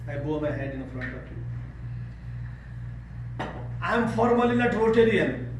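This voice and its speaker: A man from Nepal with a Nepali accent, giving an earnest speech to a service club and repeating words for emphasis. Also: a little high. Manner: earnest, repeats words for emphasis, leads a call-and-response chant. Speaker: guest speaker. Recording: echoey room, speaker far from the mic, noisy.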